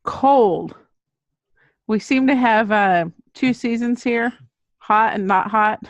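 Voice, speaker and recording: A woman's voice: on the high side, takes it slowly, no echo, dull audio. A middle-aged woman, an author appearing as a guest, telling anecdotes in a casual interview style. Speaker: children's book author, podcast guest.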